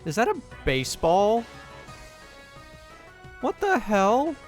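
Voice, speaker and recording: A young man hosting a let's play with casual commentary, his voice a little off from allergies, who swears and rants in sudden excited bursts. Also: high voice, slow pace, faint music behind. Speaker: gaming video host.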